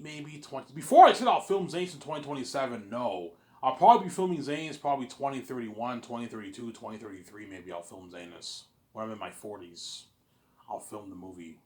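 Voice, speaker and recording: A young man talking in a casual, rambling monologue, repeating himself and restarting phrases, blunt in his wording. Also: medium pitch. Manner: repetitive, often restarting phrases. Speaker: speaker in a personal series about his planned film.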